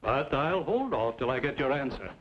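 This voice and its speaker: A man's voice: mid-range voice, very animated, normal speed, close to an everyday voice. Man playing a stern, formal frontier businessman. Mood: coolly threatening. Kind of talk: old-time western radio drama acting. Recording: muffled, noisy old radio recording.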